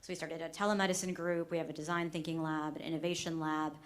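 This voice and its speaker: Low-voiced woman physician on a panel. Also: level tone, fast. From United States. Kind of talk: conference panel talk.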